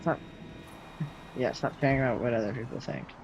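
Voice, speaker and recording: A young man in his late teens with a loud, projecting voice, rambling casually to his viewers while he games and answers their messages. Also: medium pitch, a little fast, noisy background. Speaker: streamer. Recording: slightly muffled, faint game music behind.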